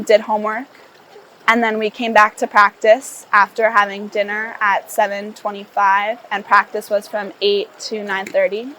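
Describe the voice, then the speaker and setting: A woman's voice: mid-range voice, bright, forceful tone, slight background noise, poor audio. A sixteen-year-old woman from Connecticut, walking through events in an orderly, step-by-step way. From Connecticut, USA. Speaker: student athlete. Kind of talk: casual testimonial interview.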